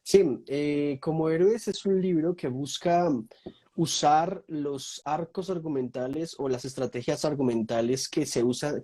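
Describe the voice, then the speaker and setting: A man's voice: medium pitch, warm tone. A young Colombian man with a Colombian accent, a guest in a casual video-call chat, speaking thoughtfully and listing topics with many fillers. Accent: Colombian Spanish. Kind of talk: casual online conversation.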